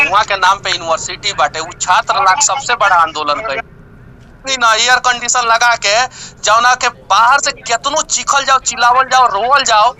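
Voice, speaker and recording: A man's voice: extremely high pitch, bright, forceful tone, rough recording. A man with a Bihari accent arguing persistently and pleadingly as he questions an official, often cutting in.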